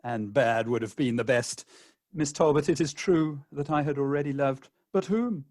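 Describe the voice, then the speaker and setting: A man playing a wounded, sarcastic jilted gentleman in a comic radio play, with a pompous, declamatory delivery. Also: mid-range voice, moderate pace, no echo, clean studio sound, lightly acted. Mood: indignant.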